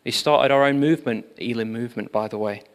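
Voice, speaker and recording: A man giving a sermon, telling revival history in an animated storytelling manner with frequent fillers. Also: medium pitch, fast talker. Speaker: preacher. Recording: long hall reverb.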